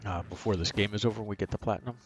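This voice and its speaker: A man's voice: on the low side, rapid-fire. A man streaming a video game with casual, rambling commentary, thinking aloud as he plays. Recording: game music behind the voice. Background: video game music.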